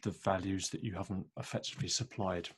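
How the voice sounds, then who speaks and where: Low-voiced man asking on a call. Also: steady tone, slightly rough. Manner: thoughtful, halting. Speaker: tutorial participant. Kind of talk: casual question on a video call. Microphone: video call microphone.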